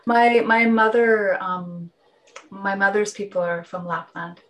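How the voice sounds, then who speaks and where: A woman with a Canadian accent and Finnish as her first language speaks as a guest in a reflective conversation. She sits at a middle pitch and often halts mid-phrase to rephrase her thoughts. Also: steady tone, slow pace, fairly bright tone. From Northern Ontario, Canada. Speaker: interview guest.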